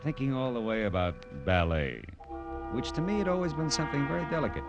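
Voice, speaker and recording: An American actor playing a wry, world-weary private detective in an old radio drama, with slangy, clipped delivery. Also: male voice, medium pitch, sing-song, fast talker, dull audio. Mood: reflective. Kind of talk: 1940s-50s radio detective acting, first-person narration. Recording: vintage radio broadcast with music.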